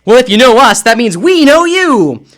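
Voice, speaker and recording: A man's voice: very high voice, big pitch swings, normal speed, fairly bright tone, voice pushed up, full character voice. A man voicing a sinister male villain disguised as a ghost, in a dramatic comic reading. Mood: menacing.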